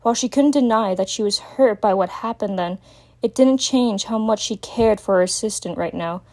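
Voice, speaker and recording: A woman's voice: mid-range voice, moderate pace, darkish tone. A woman narrator giving a scripted dramatic reading of a story.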